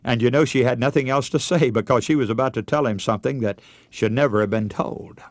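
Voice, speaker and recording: A man's voice: medium pitch, a little fast, no room sound. An older man preaching a radio sermon, telling stories in an earnest way and calling his listeners friends.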